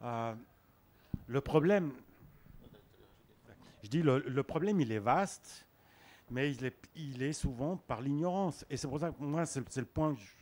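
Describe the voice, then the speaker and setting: A man's voice: medium pitch, animated, unhurried, pauses often, darkish tone. A man speaking emphatically in a group discussion, sometimes hesitating. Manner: impassioned, emphatic, hesitant at times. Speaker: participant in a discussion. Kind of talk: spontaneous discussion.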